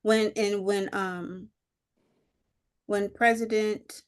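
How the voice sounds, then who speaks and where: A woman on a video call, thinking aloud in a group discussion, with many pauses, fillers and restarts. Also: mid-range voice, fairly even intonation, clear, resonant tone. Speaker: discussion participant.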